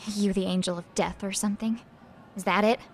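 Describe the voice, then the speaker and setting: A woman's voice: mid-range voice, fairly even intonation, brisk, a little hiss or hum, close to an everyday voice. Brash, sneering teenage schoolgirl played by a woman. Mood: accusing, scornful. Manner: scornful, brash. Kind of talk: English game dub dialogue.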